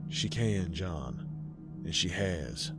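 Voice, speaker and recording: Cult brother in a horror audiobook, voiced by a male narrator: stern, calm, low-pitched, music behind. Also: takes it slowly, exaggerated voice. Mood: solemn firmness. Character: stern, calm elder of a rural church cult.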